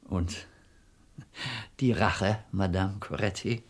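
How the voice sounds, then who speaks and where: An actor playing an exasperated police commissioner in a radio play, brusque and formal, with a gravelly, husky voice. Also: male voice, deep voice, steady tone, slow. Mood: formal, guarded. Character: exasperated, official middle-aged male police commissioner. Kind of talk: 1970s German radio play acting.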